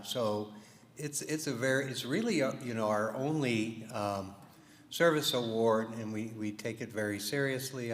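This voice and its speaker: A man giving an informal, halting speech as a presenter at an awards dinner. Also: on the low side. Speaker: organization host and award presenter. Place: large room at a live fundraising event. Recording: echoey hall, audience noise.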